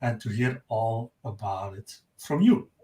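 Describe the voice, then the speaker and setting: A man's voice: on the low side, animated, unhurried, warm tone. Upbeat man from Belgium hosting, roomy sound. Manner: upbeat, welcoming. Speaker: webinar host. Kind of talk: live webinar introduction. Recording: roomy echo.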